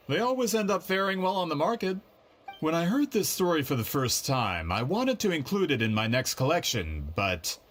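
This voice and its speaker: Courteous eager book publisher. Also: male voice, medium pitch, fairly bright tone. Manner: courteous, businesslike, persuasive. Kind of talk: game cutscene voice acting.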